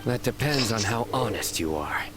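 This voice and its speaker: An actor playing a stern, composed lawman general in a video game, deadpan and authoritative. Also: on the low side, moderate pace, over music, natural voice. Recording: game capture with clear background noise.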